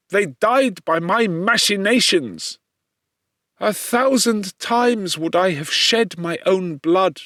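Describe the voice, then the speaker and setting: A man acts out an anguished young gentleman from a gothic storybook. His voice climbs high above calm speech as he declaims with feverish, guilt-ridden insistence. The sound is close and dry. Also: no echo, exaggerated voice. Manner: impassioned, declamatory, drawn-out words.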